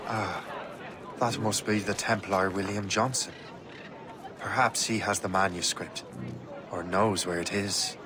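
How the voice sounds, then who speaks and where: An actor playing a bold young ship captain who speaks in a confident, commanding way. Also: male voice, lowish voice, fairly even intonation, measured pace, long pauses, over a noisy crowd, very noisy, natural voice. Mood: calm.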